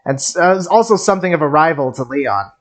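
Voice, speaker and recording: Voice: mid-range voice, animated, fast talker. A streamer giving casual game reaction commentary in a rambling way, often stammering and repeating words. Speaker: streamer, game content creator.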